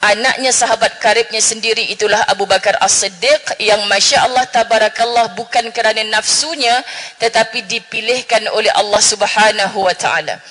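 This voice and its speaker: Woman religious speaker, northern Malaysian Malay accent, middle-aged, bright forceful voice, lively, echoing mosque hall. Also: a little high, normal speed. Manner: chatty, jokes with the audience, Arabic phrases. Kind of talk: lively religious forum talk. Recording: long hall reverb.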